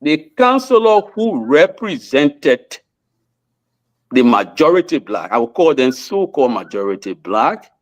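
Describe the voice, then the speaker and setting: A man's voice: fairly high pitch, big pitch swings, a little slow. A man with a Liberian accent, a lawyer debating on a talk show, often repeating his words. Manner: repeats words, courteous to seniors.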